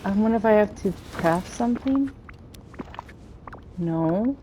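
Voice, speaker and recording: A woman's voice: mid-range voice, animated, a little slow, background noise. A woman giving casual let's-play commentary, thinking aloud as she plays and talking to the game's characters. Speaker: gaming streamer narrating her play.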